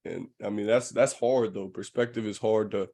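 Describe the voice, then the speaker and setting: A man's voice: on the low side, fairly even intonation, normal speed, vocal fry, mellow. A man chatting casually as a podcast host, in a reflective, slangy way. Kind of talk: casual chat.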